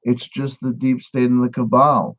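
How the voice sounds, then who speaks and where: A man giving a satirical mock lesson, with a mock-earnest pitchman delivery. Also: steady tone, moderate pace, a bit bright, highs cut off. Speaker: mock instructor. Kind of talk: satirical monologue.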